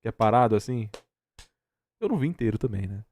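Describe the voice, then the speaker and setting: A man's voice: fairly low pitch, animated, fast, a little mellow, soft background music. A Brazilian man reacting casually and with strong opinions, emphatically repeating himself for stress. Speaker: reaction streamer.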